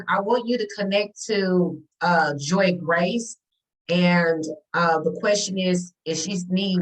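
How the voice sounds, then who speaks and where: A woman on a group video call, sharing in an enthusiastic, rambling way with lots of fillers. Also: fairly low pitch. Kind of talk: casual sharing. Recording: distant mic.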